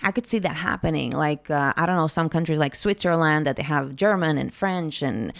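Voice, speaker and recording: A woman's voice: low voice, a little fast, vocal fry, dry recording. A young woman from Greece, a singer herself, chatting casually and expressively as she ranks song contest entries on her vlog. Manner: chatty and expressive, gushing with repeated words. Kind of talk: casual reaction chat.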